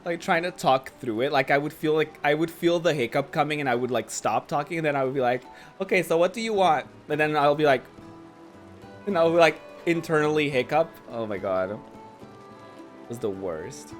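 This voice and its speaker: A hoarse young man streaming a game, rambling casually and saying "like" a lot while he talks over the gameplay. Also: fairly high pitch, moderate pace, soft background music. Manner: chatty, rambling, says "like" a lot. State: hoarse, losing his voice. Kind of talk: casual let's-play commentary.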